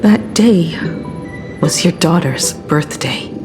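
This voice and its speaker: An actress voicing a composed, wistful but quietly defiant woman in a video game, hushed, breathy and measured. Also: low voice, animated. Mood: sorrowful, solemn. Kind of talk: dramatic video game voice acting. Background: background music.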